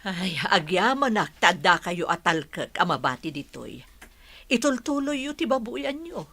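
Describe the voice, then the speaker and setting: A woman's voice: fairly low pitch, big pitch swings, unhurried, fairly bright tone, exaggerated voice. A woman with a Filipino accent playing a polite, generous schoolteacher in a radio drama. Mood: gracious. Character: polite, generous schoolteacher employing village caretakers.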